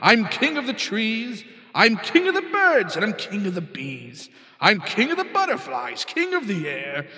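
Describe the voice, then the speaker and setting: A man voicing a pompous, bossy male turtle king in a storybook reading, loud and boastful. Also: sits high, wildly swooping pitch, unhurried, voice pushed up, heavily acted. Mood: gloating. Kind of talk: storybook read-aloud. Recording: long hall reverb.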